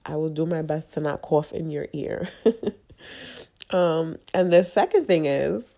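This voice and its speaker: A woman hosting her own podcast, congested and a little nasal from a cold, talking candidly in a halting, confessional way. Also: sits low, creaky, mellow. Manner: halting, confessional, with frequent fillers. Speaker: podcast host. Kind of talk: candid personal monologue.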